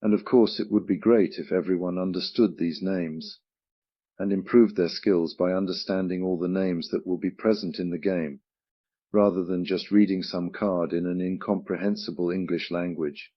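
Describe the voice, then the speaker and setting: Low-voiced chatty Russian-speaking man. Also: fairly even intonation, normal speed, no room sound, muffled recording. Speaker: game project presenter. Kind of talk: casual livestream chat.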